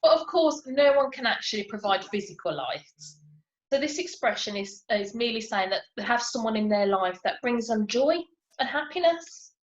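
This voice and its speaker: A woman's voice: on the high side, sing-song, normal speed. A woman leading a lesson over a video call, explaining things in a conversational teaching style. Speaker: lesson leader presenting metaphors. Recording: echoey, slightly muffled video call.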